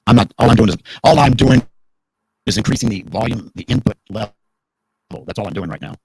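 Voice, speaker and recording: Man, hoarse worn voice, low-pitched, radio talk host, joking, rambling, dry close sound. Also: animated, brisk, no room sound, poor audio. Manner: chatty, joking, rambling with restarts. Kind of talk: casual live talk show chat.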